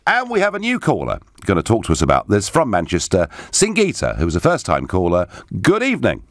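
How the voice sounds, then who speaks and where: A middle-aged man hosts a radio phone-in, his fairly low voice swooping widely up and down as he stresses words. He sits close to a studio microphone, and the sound is dry and tight with no room echo. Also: huge pitch swings, a little fast. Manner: emphatic, swooping delivery, interjects with questions. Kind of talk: live radio phone-in, reading listener messages. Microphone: studio microphone with headphones.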